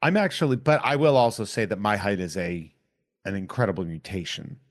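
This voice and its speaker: A low-pitched man with a Boston accent chats loosely as a podcast host. His talk rambles and jokes along. The recording is dry and close, with no room sound. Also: a little fast, no room sound. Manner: joking, rambling, drops into a thick local accent for laughs. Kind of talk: casual chat.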